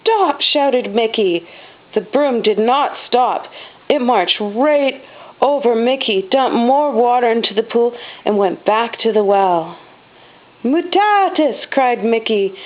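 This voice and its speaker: A woman reading a children's storybook aloud as a mother does at home, with expressive stress on the dramatic words. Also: a little high. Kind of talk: storybook read-aloud narration. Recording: dull, lo-fi home recording.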